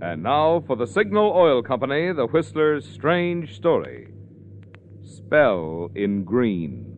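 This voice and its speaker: Theatrical vintage American radio announcer, animated pitch. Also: male voice, slow pace, over music. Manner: formal, theatrical.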